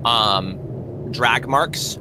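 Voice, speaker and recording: A man narrating a tabletop roleplaying game as game master, improvising in a halting, conversational way. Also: medium pitch. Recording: live stream with clear background noise.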